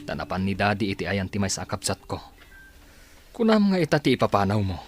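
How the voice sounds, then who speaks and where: A man playing a concerned father talking to his daughter in a Filipino radio drama, measured and paternal. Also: on the low side, sing-song, over music.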